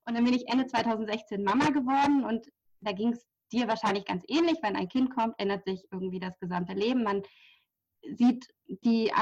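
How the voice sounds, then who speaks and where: Chatty woman guest, slightly muffled sound. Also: mid-range voice. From near Hamburg, Germany. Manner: chatty, many fillers, laughs lightly. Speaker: podcast guest, journalist and horse therapist. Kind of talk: casual interview talk. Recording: slightly dull lo-fi audio.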